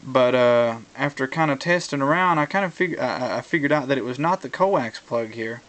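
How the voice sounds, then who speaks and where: A man talking casually through a hands-on tutorial, explaining things in a halting way as he thinks aloud. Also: medium pitch, a bit bright. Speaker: hobbyist video presenter.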